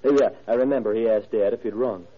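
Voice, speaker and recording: An actor playing a murdered man's young son, halting, plainspoken and slightly uneasy, in a 1940s radio drama. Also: on the low side, close to an everyday voice. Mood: hesitant. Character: hesitant, cooperative young son of a murdered man. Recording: narrow, muffled old radio recording.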